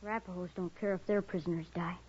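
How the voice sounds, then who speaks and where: A woman's voice: lowish voice, steady tone, quick pace, a little mellow, husky. A woman playing a proud, wary, stoic captive boy in an old time western radio drama. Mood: wary, defiant. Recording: muffled old radio recording.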